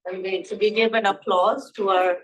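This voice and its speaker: A woman from India hosting a conference session at a medium pitch. She talks in a chatty, informal way while passing the floor to the next chair. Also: fairly even intonation, normal speed, a little hiss or hum, poor audio. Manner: self-described loud voice, chatty. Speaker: session host. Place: conference hall.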